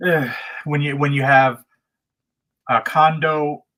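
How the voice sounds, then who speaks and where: A man's voice: a little high, steady tone, slow pace, bright, forceful tone, low-quality recording. A man from the United States, a guest on a casual livestream, explaining things in a meandering way with many restarts. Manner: meandering, full of restarts and hedges.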